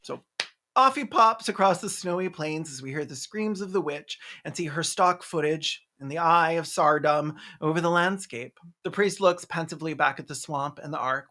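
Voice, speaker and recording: A video essayist giving a sarcastic, comedic movie recap, with snarky deadpan asides and mock-dramatic exclamations. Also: medium pitch, normal speed. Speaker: video essayist, film reviewer.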